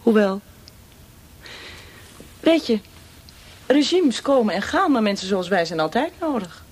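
An actress in a radio drama playing a cold, mocking torturer for a military regime, speaking with deliberate menace. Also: female voice, mid-range voice, sing-song, a little mellow, husky. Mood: taunting, menacing.

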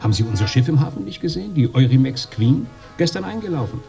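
A man's voice: medium pitch, big pitch swings, fast talker, vocal fry, mellow, faint music behind. An actor playing a jovial but condescending European trade agent in a radio drama, chummy and swaggering in his colloquial talk. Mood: boastful, affable.

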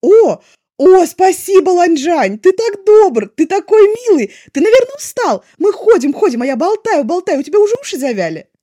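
Woman voicing an exuberant, high-pitched young male artist. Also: normal speed. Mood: thrilled, gushing. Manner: gushing, breathless, excitable chatter. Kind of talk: expressive audiobook character voice.

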